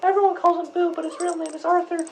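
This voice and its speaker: A woman playing a very high-voiced, blunt little girl. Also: level tone, fast, a little hiss or hum. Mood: earnest, chatty. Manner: blunt, childlike, argumentative. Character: blunt, indignant nine-year-old Southern tomboy girl. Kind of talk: acted radio interview for a school project.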